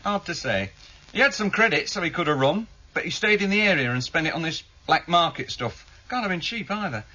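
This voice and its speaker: Keen junior detective, husky and bright. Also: male voice, a little high, animated, a little fast. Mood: methodical, matter-of-fact. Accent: British. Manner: explanatory, matter-of-fact. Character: deferential but keen junior police investigator, male, methodical. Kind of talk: 1970s British radio drama acting. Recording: dull, lo-fi 1978 radio broadcast.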